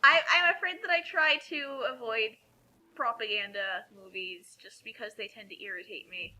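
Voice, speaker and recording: A woman giving casual commentary on a reading, explaining things in a halting, conversational way. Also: a little high, pushed and bright, rough recording. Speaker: co-host of a group fanfiction reading.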